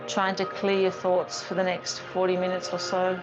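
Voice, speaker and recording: A woman leading a gentle yoga class as the teacher, guiding with a calm, soothing, gently instructing manner. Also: on the low side, normal speed, highs cut off, over music.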